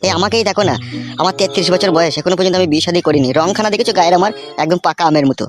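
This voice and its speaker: A man's voice: sits high, rapid-fire, natural voice. A man playing a vain, flirtatious party henchman in a comic cartoon, nosy and preachy. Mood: scolding. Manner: nosy, preachy, smooth-talking. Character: meddling, flirtatious party henchman, vain bachelor of thirty-three. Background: background music.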